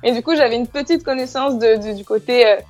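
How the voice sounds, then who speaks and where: Higher-voiced young French woman, hesitant. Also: fast, darkish tone, soft background music. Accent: southern French with slight Quebec traces. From southern France. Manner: hesitant, searching for words. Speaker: interview guest. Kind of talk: casual spoken interview answers.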